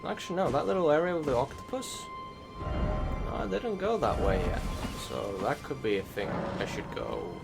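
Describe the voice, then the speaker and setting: A man's voice: a little high, very animated, moderate pace, vocal fry. A man giving casual, rambling gameplay commentary as a voice-over, hesitant, thinking aloud and correcting himself. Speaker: gaming YouTuber. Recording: loud game music behind the voice. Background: loud video game music.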